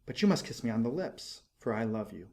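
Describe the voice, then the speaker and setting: A man reads as a storybook prince who has become a statue. He is gentle and full of sorrow, pleading tenderly and solemnly in measured words, at a middling pitch. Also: very animated. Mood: gentle, loving.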